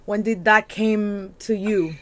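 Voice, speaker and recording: A woman's voice: medium pitch, steady tone, takes it slowly, a bit bright. A woman hosting a book channel, interviewing an author in an eager, upbeat way. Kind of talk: casual interview.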